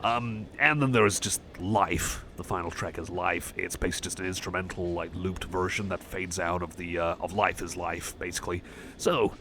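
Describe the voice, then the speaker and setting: A shy cyborg chum, played by a man, speaks in a very low voice full of gravelly fry. He rambles softly and hesitantly in a friendly, self-effacing ASMR roleplay style. Clear background noise sits behind the voice. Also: steady tone, normal speed. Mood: shy, enthusiastic. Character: shy, friendly young male cyborg music fan. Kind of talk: soft casual ASMR roleplay chat.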